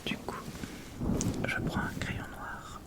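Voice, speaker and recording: A woman whispering in a breathy, unvoiced voice, casually thinking aloud as she presents an ASMR makeup tutorial. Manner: hushed, unhurried, informal thinking aloud. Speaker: ASMR video creator.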